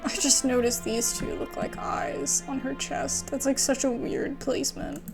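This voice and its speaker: A woman's voice: high voice, fairly even intonation, a little slow, gravelly. A woman giving casual gameplay commentary in an informal, slangy way, as a gaming creator. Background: game music.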